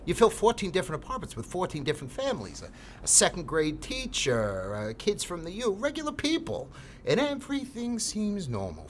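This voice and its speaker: Casting: fed-up property manager, fifties, high-pitched male voice swinging wildly, blunt, podcast drama over faint ambience. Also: fairly bright tone, a little hiss or hum, exaggerated voice. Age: 50s. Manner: blunt, exasperated, swearing.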